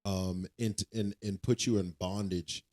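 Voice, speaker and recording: A man's voice: sits low, steady tone, a little slow, darkish tone. A man co-hosting a podcast, asking guiding questions and answering with short, encouraging affirmations. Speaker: podcast co-host. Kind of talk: casual chat.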